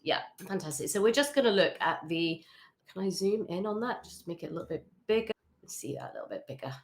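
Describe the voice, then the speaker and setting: Female host, lower-pitched voice, upbeat and chatty. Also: big pitch swings, fast talker, creaky. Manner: upbeat, chatty, with frequent laughs. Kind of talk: casual interview, giving brand feedback.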